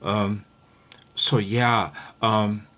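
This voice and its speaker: Slow, low-voiced man rambling casually. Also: level tone, fairly bright tone. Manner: rambling, folksy, jokey, often says "man". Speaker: amateur radio hobbyist giving beginner tips. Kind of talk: casual unscripted tutorial.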